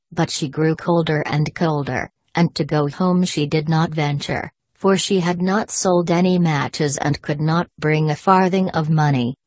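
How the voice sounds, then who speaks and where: A woman reading a scripted children's fairy tale as a storyteller, measured and expressive. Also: low voice, dry recording.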